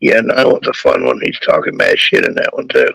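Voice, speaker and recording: Gravelly hoarse-voiced man. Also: extremely low pitch, wildly swooping pitch, brisk, a bit bright, dry recording, poor audio. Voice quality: gravelly, husky. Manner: laid-back, rambling joker. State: losing his voice. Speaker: caller on a live stream chat show. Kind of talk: casual crude banter.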